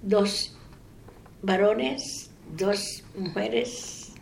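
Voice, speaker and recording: A woman in her eighties from the Dominican Republic with a Dominican accent, giving a soft-spoken oral history interview. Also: medium pitch, steady tone, very slow, gravelly, slight background noise. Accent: Dominican Spanish. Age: 80s. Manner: soft-spoken, trailing off into quiet.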